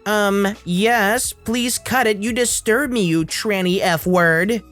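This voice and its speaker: A man's voice: sits high, slow pace, a bit bright, soft background music, pitched above the performer's own voice. A man voicing a hostile, bigoted entitled middle-aged mother who drags out her insults in a sneering way. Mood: contemptuous. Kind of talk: acted quotes in a read-aloud story.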